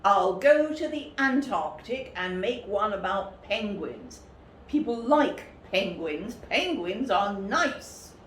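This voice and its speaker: Lady doing an angry huffy storybook tv man, telling someone off, swoopy pitch, echoey room. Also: lively intonation, slow. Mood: indignant, huffy. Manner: scolding, emphatic, indignant. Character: furious, indignant man, a television wildlife presenter. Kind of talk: storybook character voice. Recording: roomy, distant mic, faint noise.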